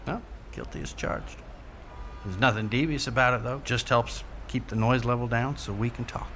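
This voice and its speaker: Casting: a New York cop negotiator, insistent and sincere, sharp-edged tone, faint noise under the radio play. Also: male voice, mid-range voice, expressive, normal speed. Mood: guarded. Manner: plain-spoken, pleading, insistent. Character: earnest New York police officer negotiating with jumper. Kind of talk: audio drama acting.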